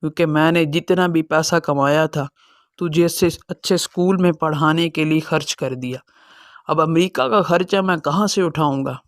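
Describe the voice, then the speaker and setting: A man playing a gentle, sorrowful elderly father in a dramatized cartoon moral story. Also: fairly high pitch, moderate pace, no room sound, studio quality, close to an everyday voice. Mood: pleading, weary. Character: sorrowful, self-sacrificing elderly father, gentle with his children.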